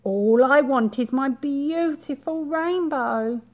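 Woman voicing a high, pleading young tadpole. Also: darkish tone. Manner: pleading, drawn-out delivery. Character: lovesick young male tadpole, apologetic and pleading. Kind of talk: children's storybook read-aloud.

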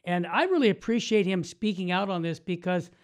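A man's voice: high-pitched, lively intonation, fairly quick. An older man talking emphatically and conversationally as an opinionated radio host, close on a studio mic. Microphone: studio mic on boom arm, headphones.

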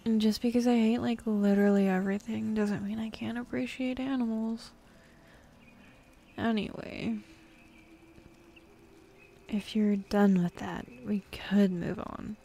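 A woman playing a bossy, jaded female demon in an ASMR roleplay, speaking softly and often half-whispering, with dismissive sighs. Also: mid-range voice, fairly even intonation, a little slow, creaky, pauses often. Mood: bored, teasing.

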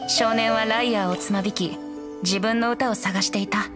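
A woman voicing a youthful, boyish wind spirit bard in an anime game, gentle and wistful, with lyrical storytelling phrasing. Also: medium pitch, steady tone, normal speed, over music, close to an everyday voice. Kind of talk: anime video game voice acting, poetic narration.

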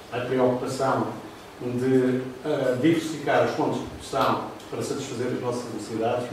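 An older man with a European Portuguese accent giving a formal lecture over slides, pausing often with fillers. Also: mid-range voice, background noise. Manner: talks through slides, hesitant fillers. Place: classroom with projector. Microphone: far room camera. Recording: roomy echo from far off.

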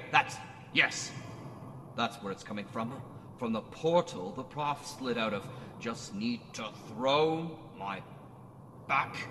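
An actor playing a bumbling, wisecracking earthling adventurer in an old-time radio serial style, faltering and theatrical. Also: male voice, mid-range voice. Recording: noisy, heavily reverberant radio drama mix.

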